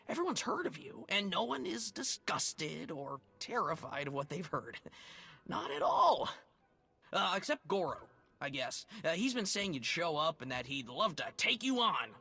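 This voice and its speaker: A jittery, stammering pirate. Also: male voice, high-pitched, wildly swooping pitch, moderate pace, gravelly, pushed and bright, breathy edge, exaggerated voice. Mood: nervous. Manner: stammering, nervous laughter. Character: nervous, jittery pirate greeter at a raider cove. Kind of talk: video game cutscene.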